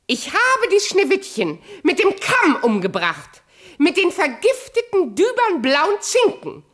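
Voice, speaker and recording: A woman's voice: very high-pitched, wildly swooping pitch, a little slow, fairly bright tone, exaggerated voice. An actress playing a vain, scheming and imperious evil queen in a theatrical fairy-tale radio play. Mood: shrill fury. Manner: wheedling, imperious, dramatic.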